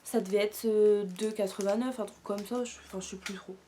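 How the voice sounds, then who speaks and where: A woman's voice: mid-range voice, steady tone, brisk, heavy background noise. A young woman doing a casual shopping haul, out of breath and congested from a sore throat, rambling with lots of fillers. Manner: chatty, rambling, with many fillers and self-corrections. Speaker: haul vlogger.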